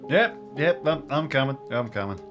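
Animated man improvising in role-play. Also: medium pitch, normal speed, music in the background. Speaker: player in improvised audio drama.

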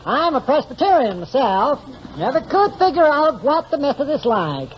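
An actor playing a dour, gossipy small-town wagon driver in an old radio drama, with a laconic rural American drawl. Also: male voice, very high voice, sing-song, moderate pace. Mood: dryly gossipy. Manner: laconic drawl, hemming before he answers. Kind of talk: 1940s radio drama acting. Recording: dull, noisy old radio recording.

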